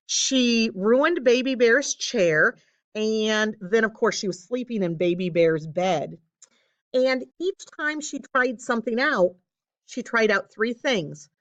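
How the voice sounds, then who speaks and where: Lively, higher-voiced woman teaching young children. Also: no echo, dull audio. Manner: simple, explanatory phrasing addressed to children. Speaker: elementary school teacher. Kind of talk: recorded lesson for young children.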